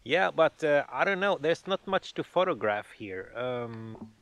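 Relaxed man vlogging outdoors. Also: fairly high pitch, measured pace, slight background noise. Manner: relaxed, hesitant with many fillers. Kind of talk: casual outdoor vlog. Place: outdoors in a forest by a lake. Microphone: camera held at arm's length.

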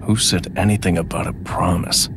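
Aloof young game warrior, played by a man: low, curt, brooding delivery, noisy ambience behind. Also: steady tone, normal speed, natural voice. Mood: cold, dismissive. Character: aloof young lone warrior, guarded and cold. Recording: game audio over ambient noise.

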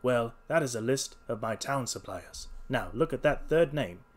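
Man acting a market salesman, irritable, stubborn, snapping, combative, lively pitch swings, storybook character. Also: normal speed, close to an everyday voice. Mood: smug, triumphant. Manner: gruff-worded, snapping, combative.

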